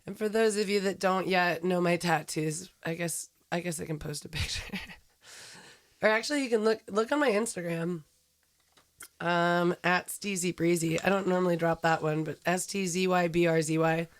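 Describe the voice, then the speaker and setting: A woman's voice: lowish voice, normal speed. A woman chatting casually and playfully as a podcast host, with a candid manner.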